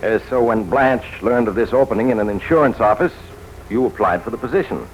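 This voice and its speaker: An actor playing a calm, tactful American male physician in a 1940s radio drama, explaining patiently with small hesitations. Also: lowish voice, slight background noise, lightly acted, poor audio. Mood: calm, explanatory. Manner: measured, hesitant with soft "uh"s. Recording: old 1940s radio recording with music.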